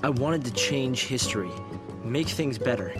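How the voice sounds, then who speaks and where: Game research director, male actor: young, thoughtful, idealistic, mid-pitched, strong musical bed underneath. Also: lively intonation, normal speed, natural voice. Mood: thoughtful, hopeful. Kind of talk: video game voice acting, expository dialogue. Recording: loud game music behind the voice. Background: loud music.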